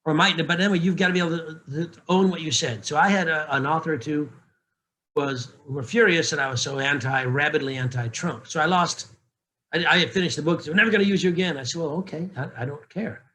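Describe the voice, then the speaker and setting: Middle-aged man, bright tone, slightly high pitch, candid, joking, casual panel talk. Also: fast. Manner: candid, joking, restarts often. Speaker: narration coach.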